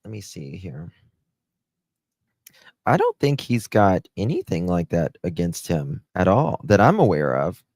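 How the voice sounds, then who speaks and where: A middle-aged man with a Southern US accent, hosting a casual livestream into a close desk mic in a halting, unhurried way. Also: low-pitched, wildly swooping pitch, darkish tone, dry recording. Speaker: livestream host. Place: small room at home, indoors. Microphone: close desk microphone.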